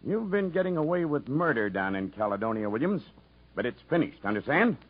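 A man acting a stern, officious, dismissive prison commissioner in a 1950s radio drama, with a husky voice. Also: mid-range voice, very animated, brisk. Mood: dismissive, skeptical. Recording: muffled 1950s radio broadcast.

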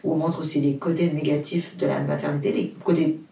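A woman's voice: low-pitched, fairly even intonation, a little fast, creaky, dark tone. Woman, roomy distant sound. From Portugal. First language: Portuguese. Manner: hesitant, many fillers and restarts, earnest. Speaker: author, interview guest. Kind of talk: casual interview chat.

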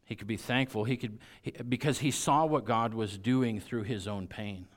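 American preacher, swinging from hushed to emphatic. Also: male voice, lowish voice, normal speed. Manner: emphatic, repeats key phrases, swinging from hushed to loud. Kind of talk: sermon to a congregation.

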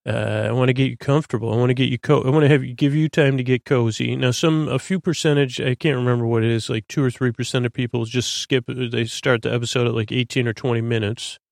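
A man hosting a bedtime podcast in a creaky, lulling voice, droning along in a halting, stammering, meandering monologue meant to help listeners sleep. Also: mid-range voice, fairly even intonation, no room sound, clean studio sound. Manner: halting, stammering, repeats and plays with words. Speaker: podcast host.

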